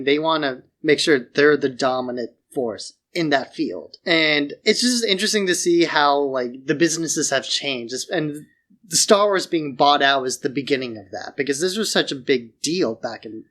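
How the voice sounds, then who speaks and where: Higher-voiced man chatting on a podcast. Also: a bit bright. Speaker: podcast co-host. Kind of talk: casual chat, opinionated commentary.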